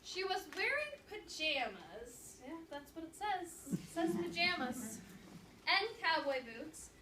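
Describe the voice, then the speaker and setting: A woman speaking as a teacher, reading aloud and instructing a class with an animated, questioning manner. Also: very high-pitched, takes it slowly. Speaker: teacher demonstrating an interactive read-aloud. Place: indoor classroom. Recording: roomy classroom, distant mic, background noise.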